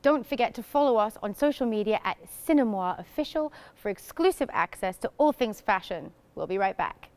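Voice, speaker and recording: A woman's voice: fairly high pitch, animated, brisk, hardly any pauses, darkish tone, a little hiss or hum. A woman in her thirties presenting an awards show from a script, as host. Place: large empty theatre stage.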